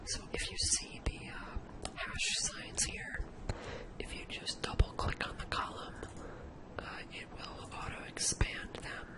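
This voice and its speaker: A person giving an ASMR software lesson in a breathy, unvoiced whisper, explaining step by step in an instructional way. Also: unhurried, rough recording. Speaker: ASMR tutorial host.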